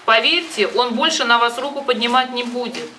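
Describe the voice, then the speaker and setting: A woman's voice: fairly high pitch, expressive, fairly quick. A woman giving advice in a phone conversation, insistent and emphatic, often repeating herself for stress. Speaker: advisor and host of the phone conversation. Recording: distant microphone with loud background noise.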